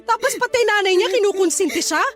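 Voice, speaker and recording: A very high-voiced, feisty middle-aged mother in a radio drama. She talks fast and bluntly, fiercely protective and out for revenge, over faint music. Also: fairly bright tone. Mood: indignant, vengeful. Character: fierce, vengeful, protective mother of a grown daughter. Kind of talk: Tagalog radio drama acting.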